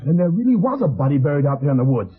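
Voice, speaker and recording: An eager, excitable sidekick with a raspy, swooping delivery. He sounds nervous, in classic radio detective style. The recording is thin and muffled, like a 1950s broadcast. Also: a little high, rapid-fire. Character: jumpy, eager male sidekick with a gun.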